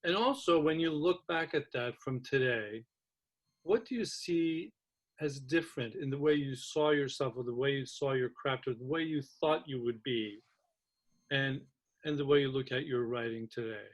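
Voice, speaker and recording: Guy raised in turkey running a chill video call panel, thoughtful, lots of restarts. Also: mid-range voice. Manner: thoughtful, halting, restarts often. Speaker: host of faculty round table. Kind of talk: casual conversation.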